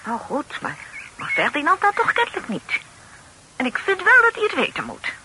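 A woman's voice: high-pitched, huge pitch swings, normal speed. An actress playing an indignant, fretful middle-aged lady of the house in a period radio drama, often repeating her words. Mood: agitated, insistent. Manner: stammering, repeating words when agitated. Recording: noisy old radio play recording.

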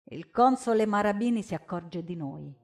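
A woman narrating a story in the first person as a stage actress, solemn and deliberate, with dramatic pauses. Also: mid-range voice, lively intonation. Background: acoustic guitar music.

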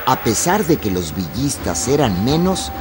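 A man delivers deliberate, theatrical scripted narration for a radio drama. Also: medium pitch, very animated, heavy background noise. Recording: prominent music and effects behind.

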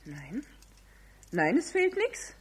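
A woman's voice: on the high side, wildly swooping pitch, takes it slowly, husky, exaggerated voice. An actress playing a polite neighbourly woman in a radio play, speaking naturally. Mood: polite, pondering. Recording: 1970s radio play, faint background noise.

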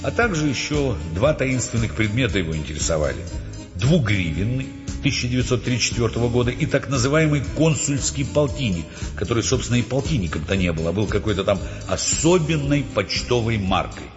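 A man's voice: mid-range voice, very animated, a little fast, lightly acted. An actor as a male storyteller, narrating an audio drama with dry irony. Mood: wry. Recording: dull, long reverb, music bed.

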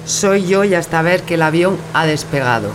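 A woman's voice: low-pitched, lively intonation, normal speed. A woman playing a resolute, composed lover saying farewell in a radio play. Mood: firm. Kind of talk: radio theatre acting. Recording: music and engine noise behind.

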